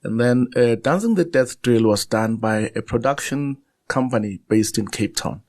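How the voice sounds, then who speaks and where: A man's voice: medium pitch, moderate pace, darkish tone. A man, a Zulu speaker, chatting as a guest writer in a casual interview, thoughtful and anecdotal, often restarting his sentences.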